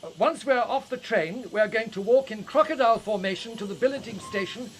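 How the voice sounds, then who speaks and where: A man's voice: sits high, fairly quick, natural voice. An actor playing a kindly, slightly hesitant male schoolteacher looking after evacuee children in a radio play. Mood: organised, slightly flustered. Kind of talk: radio drama acting. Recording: noisy crowded ambience behind voice.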